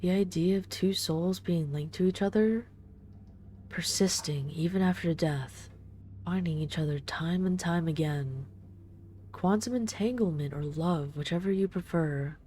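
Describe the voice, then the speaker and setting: Woman voicing a mellow, low-pitched, ageless vampire woman. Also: a little hiss or hum, lightly acted. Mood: wistful, reflective. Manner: unhurried, intimate, gently condescending. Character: ageless female vampire, boss's daughter, tender, wistful and commanding. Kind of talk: intimate scripted audio roleplay.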